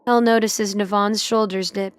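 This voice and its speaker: A woman narrator with a middle-pitched voice. She reads scripted story narration for an audio drama. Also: little pitch movement, moderate pace, no room sound.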